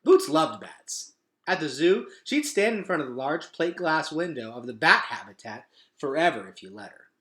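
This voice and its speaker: A man reading a storybook aloud as an expressive, dramatic narrator. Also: fairly high pitch, normal speed. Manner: animated, dramatic. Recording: echoey room.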